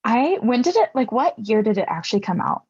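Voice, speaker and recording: A woman co-hosting a podcast, chatting eagerly and agreeing quickly in a casual back-and-forth. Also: fairly high pitch, sing-song, a little mellow, breathy edge, no echo. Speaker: podcast cohost. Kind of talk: casual chat. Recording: dull, slightly lo-fi mic.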